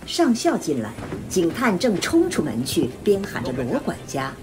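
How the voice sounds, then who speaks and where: A woman narrates a radio drama from a script. Her voice is slightly low with lively, varied intonation. Music plays clearly behind her. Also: moderate pace.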